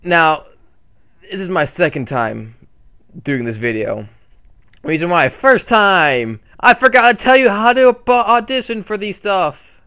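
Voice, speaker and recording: A man with a bright, forceful voice talks casually through a channel update on a desktop mic. He rambles, with many fillers and restarts. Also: sits high, expressive, a little slow, clean tone. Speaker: channel host.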